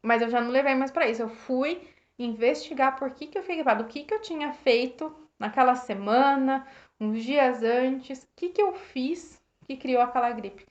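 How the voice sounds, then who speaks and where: A Brazilian woman with a slightly hoarse voice, reflecting casually on a book for her live viewers, with many restarts, thanking people by name. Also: sits high, normal speed. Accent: Brazilian Portuguese. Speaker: host reading and reflecting on a self-help book. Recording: roomy, dull lo-fi live stream.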